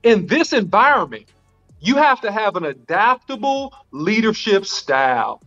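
A man on a sports talk panel arguing his point in a measured, deliberate way. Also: high voice, very animated, bright, forceful tone, highs cut off, soft background music. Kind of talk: casual sports chat.

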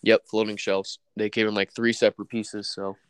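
A man's voice: lowish voice, level tone, moderate pace, a bit bright, smooth, no room sound. A young man with a stuffy nose from allergies, chatting casually about work and sports, saying "dude" and "bro" a lot. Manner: laid-back, says "dude" and "bro" a lot.

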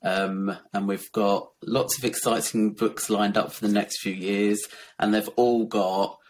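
Soft-voiced, low, tired-sounding man. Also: little pitch movement, measured pace. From Essex, England. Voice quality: soft, gentle voice. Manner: candid, reflective, many ums and soft laughs. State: croaky and tired. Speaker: guest, children's author. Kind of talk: casual interview talk.

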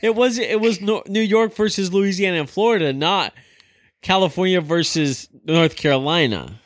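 A man's voice: high-pitched, normal speed. A man chatting informally as a podcast co-host, rambling as he goes. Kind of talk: casual chat.

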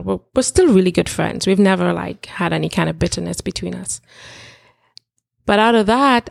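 Woman, middle-aged, reflective, gravelly, dry close mic. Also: on the low side, dry recording. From Trinidad and Tobago. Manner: reflective, candid, with filler words. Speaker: podcast guest, life coach. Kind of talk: casual personal storytelling.

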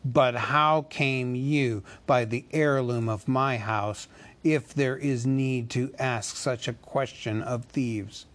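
A man voicing a proud, wrathful male dwarf king who snaps and shouts, in a storybook reading. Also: mid-range voice, fairly even intonation, takes it slowly. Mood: cold anger. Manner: shouting, bitter, commanding.

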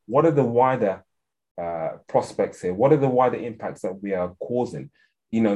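A man's voice: medium pitch, darkish tone. A man with an English accent answering interview questions as an expert guest, speaking earnestly and thinking aloud with frequent restarts. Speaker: guest expert on African security policy.

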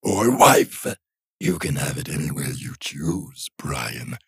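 A man voicing a gleeful predatory creature inside a dead dog's body, speaking low, husky and slowly in a taunting, sly manner. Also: lively intonation, dry recording, clean studio sound, heavily acted. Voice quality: low, husky, slow and drawn out. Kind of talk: audiobook horror narration.

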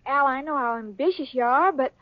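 An actress playing a sweet, dim-witted young woman in a 1940s radio sitcom, wide-eyed and breathy. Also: high-pitched, a little fast, dry recording, natural voice. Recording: dull old radio broadcast, audience laughter, hiss.

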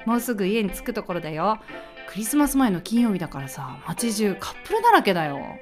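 A woman's voice: medium pitch, sing-song, quick pace, soft background music, natural voice. A woman playing an affectionate young woman chatting sweetly and casually with her long-distance partner in an amateur radio drama. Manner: sweet, casual phone-call delivery.

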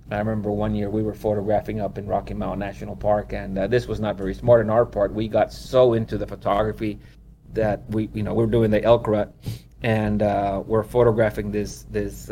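A man's voice: lowish voice, mellow, slight background noise. A man from Honduras who works as a wildlife photographer, telling stories in a casual interview. He rambles, leans on "you know" and laughs often.

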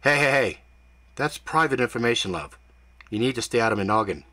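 A man's voice: medium pitch, expressive, a little fast, pushed and bright. A man voicing a cocky demon hunter. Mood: flustered. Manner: joking, breezy. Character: cocky male demon hunter with British slang.

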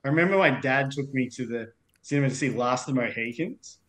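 A man's voice: mid-range voice, expressive, fast talker, fairly bright tone. A man in his thirties with an Australian accent, a podcast guest chatting casually in a meandering way, with lots of fillers. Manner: meandering, full of fillers and restarts. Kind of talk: casual chat.

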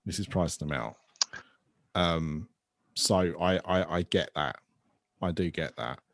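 A man's voice: low-pitched, measured pace, creaky, no echo, studio quality. A man co-hosting a podcast in casual chat, speaking hesitantly and often stammering over his words. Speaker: podcast co-host.

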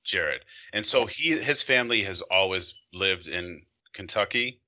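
A man's voice: low voice, expressive, sharp tone. A man in his thirties hosting a company podcast, talking in a friendly, chatty way. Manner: friendly and chatty, with quick laughs. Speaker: podcast host. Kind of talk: casual interview chat.

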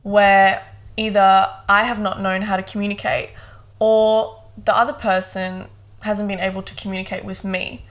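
A woman's voice: mid-range voice, normal speed. Young woman from South Africa chatting. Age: 20s. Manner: relaxed, thoughtful, fond of "hundred percent". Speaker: guest co-host. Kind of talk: casual podcast chat.

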